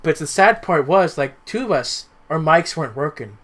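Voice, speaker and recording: A man with a voice a little higher than most chats casually as a podcast co-host. His delivery is halting, and he often repeats a word or two as he gets a sentence going. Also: expressive, a bit bright. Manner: halting, repeats words as he starts. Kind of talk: casual chat.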